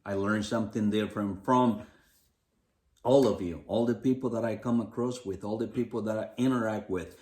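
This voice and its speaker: A man's voice: on the low side, darkish tone. A man from the Los Angeles area co-hosting a community podcast, talking in an upbeat, motivational way with repeated phrases. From Los Angeles area, United States. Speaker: podcast co-host. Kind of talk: casual community podcast chat. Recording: echoey room.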